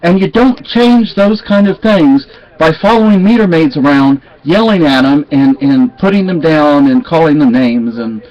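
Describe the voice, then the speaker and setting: A man's voice: a little high, animated, normal speed. Folksy Southern man, lo-fi home vlog. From Texas. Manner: rambling, folksy, with many restarts. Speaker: vlogger. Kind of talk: casual storytelling chat. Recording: dull lo-fi sound.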